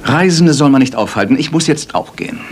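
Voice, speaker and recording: An actor playing a coolly superior, eccentric detective in a radio play, speaking with precise, self-assured, analytical phrasing. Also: male voice, mid-range voice, expressive, brisk, slight background noise, close to an everyday voice. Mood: breezy, polite. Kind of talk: German radio play acting.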